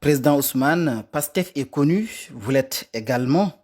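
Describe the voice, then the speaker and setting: Husky-voiced male interviewer. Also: mid-range voice, lively intonation, unhurried, a little mellow. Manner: formal, polite questioning. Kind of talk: formal interview question.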